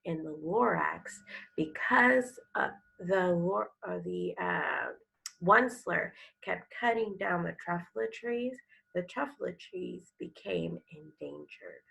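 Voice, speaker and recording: A woman teacher leading a read-aloud lesson for young children, explaining and prompting as she goes, with a gravelly, creaky voice. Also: lowish voice, sing-song, takes it slowly, pushed and bright, rough recording. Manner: instructional, addresses children with prompting questions. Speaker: elementary school teacher.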